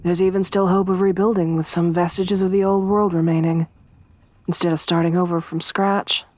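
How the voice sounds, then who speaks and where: A young woman voicing a tough, tomboyish survivor companion in an ASMR roleplay, speaking softly with a wry, weary warmth. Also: lowish voice, level tone, moderate pace, no room sound, natural voice. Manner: soft-spoken, wry, quietly reflective.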